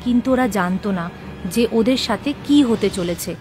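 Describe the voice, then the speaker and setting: A woman's voice: medium pitch, normal speed, a little mellow, faint music behind. A woman narrating a scripted horror story.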